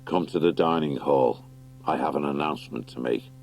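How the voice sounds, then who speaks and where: Grave badger warlord for audiobook fantasy, played by a man: low voice, noble, measured, used to command. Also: gravelly, a little mellow, slight background noise. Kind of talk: audiobook character acting.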